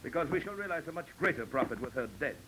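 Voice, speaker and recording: Pompous, cold-blooded hunter villain. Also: male voice, a little high, lively intonation, fast talker, pushed and bright. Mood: smug, polite menace. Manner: pompous, formal, mocking politeness. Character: suave, cold-blooded titled big-game hunter villain. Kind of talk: 1940s radio drama acting. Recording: noisy, clicky old 1940s radio recording.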